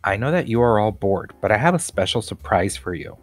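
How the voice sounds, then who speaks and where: A man's voice: fairly low pitch, expressive, brisk, dry recording, faint music behind. A man reading aloud, voicing a strict, prim woman teacher in a children's storybook. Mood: coaxing.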